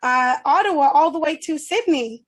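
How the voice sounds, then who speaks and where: A woman's voice: high-pitched, expressive, normal speed. A woman hosting an online interview over a video call, enthusiastic and effusive. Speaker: host.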